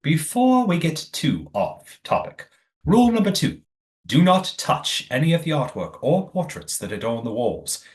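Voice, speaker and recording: A man's voice: fairly high pitch, wildly swooping pitch, measured pace. A man playing a courteous master of a secretive old manor in an audio play, with formal, precise wording. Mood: formal, instructive.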